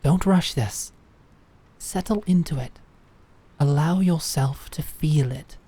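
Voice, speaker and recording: Woman acting slow, measured female knight mentor. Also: low-pitched, dark tone, husky, heavily acted. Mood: calm, guiding. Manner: measured, deliberate instructing. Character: female knight mentor, calm, commanding, encouraging swordmaster. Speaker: voice actor. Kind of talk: audio drama acting.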